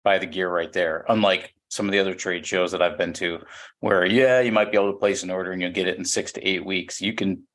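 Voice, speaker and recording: A man's voice: deep voice, fairly even intonation, fairly quick, fairly bright tone. A man co-hosting a podcast, asking friendly questions with frequent stumbles and restarts. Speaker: podcast co-host. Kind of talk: casual podcast chat.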